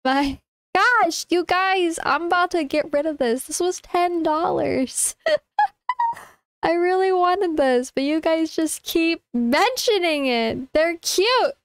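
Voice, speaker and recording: A woman's voice: very high voice, very animated, slow pace, no room sound. A young woman streaming, chatting casually and greeting viewers one by one, her voice stuffy and congested from a blocked nose, tripping over her words now and then.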